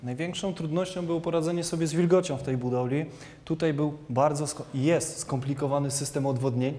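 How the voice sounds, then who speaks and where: A man's voice: fairly high pitch, fairly even intonation, a little fast, dark tone. A young man, an engineer, explaining his work in an interview inside a brick corridor. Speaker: construction engineer being interviewed. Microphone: lapel. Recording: long echo in brick interior.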